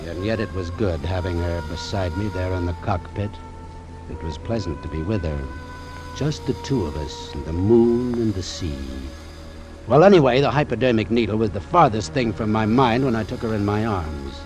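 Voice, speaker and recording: A male actor plays a wary, hard-boiled American doctor in a vintage radio thriller. His voice sits low and swings widely between clipped, wry remarks and dramatic narration. Music runs beneath the old broadcast. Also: animated, normal speed, close to an everyday voice. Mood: brooding, urgent. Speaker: actor playing the narrator and lead. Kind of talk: old-time radio suspense acting, first-person narration and dialogue.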